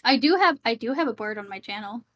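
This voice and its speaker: A woman's voice: fairly high pitch, expressive, quick pace, fairly bright tone. A woman from Sri Lanka, a streamer, talking over a voice call in a casual debate, hesitant, with many fillers and restarts.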